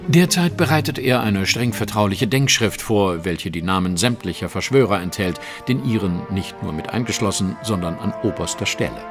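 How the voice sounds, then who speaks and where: An actor playing a confident, sardonic Victorian detective in a German audio drama, polite, measured and ironic. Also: male voice, lowish voice, soft background music, lightly acted. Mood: calm, menacing.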